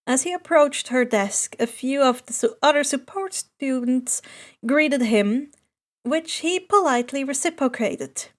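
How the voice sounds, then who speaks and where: A woman's voice: high-pitched, expressive, unhurried. A woman reading a story aloud as an audiobook narrator. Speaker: podfic narrator.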